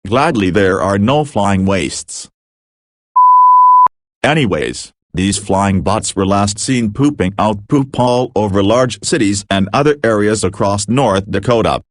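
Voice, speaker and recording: A man's voice: lowish voice, lively intonation, a little slow, dry recording. A man narrating a scripted mock emergency broadcast as an announcer, matter-of-fact with casual asides.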